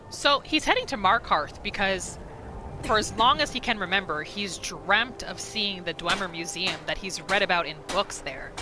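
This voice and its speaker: A woman giving casual, storytelling commentary as she narrates a roleplay video game. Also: medium pitch. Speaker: gamer narrating a roleplay series.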